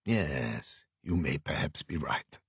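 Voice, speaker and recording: A man voicing a polite, deliberate private detective who speaks formally and precisely in an audiobook. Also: a bass voice, animated, gravelly, a bit bright, breathy edge, no room sound, studio quality, voice pushed down, heavily acted. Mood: measured. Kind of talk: audiobook character voice.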